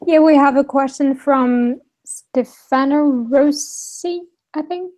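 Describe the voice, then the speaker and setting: A woman's voice: sits high, takes it slowly, darkish tone, rough recording. A young woman reading out an audience question over a video call on an earphone mic, somewhat tentative. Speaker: question reader. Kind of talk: casual discussion.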